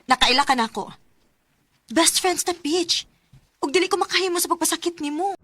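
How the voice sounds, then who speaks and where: A woman's voice: very high voice, moderate pace, bright, forceful tone, no room sound. A woman playing a sensible, loyal young student in a radio drama, earnest and conversational, with a Cebuano accent. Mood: earnest defensive.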